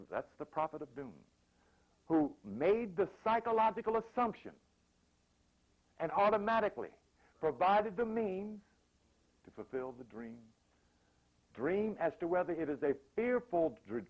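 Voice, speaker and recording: A man's voice: fairly high pitch, rough recording. A man giving an informal, sermon-like motivational talk, repeating phrases emphatically and acting out examples. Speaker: motivational spiritual speaker.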